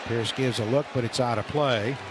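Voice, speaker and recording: A man calling live baseball play-by-play on the radio, building up on big plays and reading stats between pitches. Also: fairly even intonation, moderate pace. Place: stadium broadcast booth. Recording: radio broadcast with loud crowd noise.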